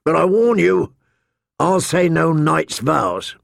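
Man acting a gruff, scarred warrior with a rasp. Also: animated, takes it slowly, dry recording, clean studio sound, exaggerated voice. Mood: defiant. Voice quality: deep rasp. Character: scarred, surly sworn-shield warrior, gruff and defiant.

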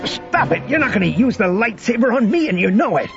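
A man voicing a brash, agitated adventurer, urgent and strained as he yells. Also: high-pitched, sing-song, brisk, creaky, highs cut off, soft background music, voice pushed up, full character voice. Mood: alarmed.

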